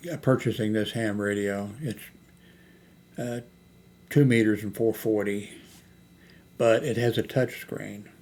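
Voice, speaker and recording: An older man hosting a casual unscripted vlog, thinking aloud in a hesitant, halting way as he browses products. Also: on the low side, a little mellow. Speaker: YouTube host. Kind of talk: rambling, unscripted screen-browsing product chat. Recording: noticeable background noise, homemade recording.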